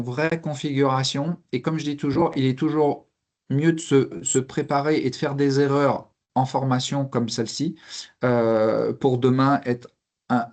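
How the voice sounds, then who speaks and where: Halting man in a panel discussion. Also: mid-range voice, a little mellow. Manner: hesitant, halting delivery with frequent repeated words. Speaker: fire service officer and trainer speaking as panelist. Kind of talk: spontaneous professional panel discussion.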